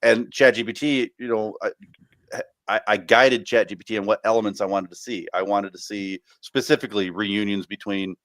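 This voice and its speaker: A man's voice: fairly low pitch, steady tone, normal speed, a bit bright, dry recording. Rambling man on a podcast. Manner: rambling, many fillers and restarts. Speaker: podcast co-host. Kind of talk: casual chat.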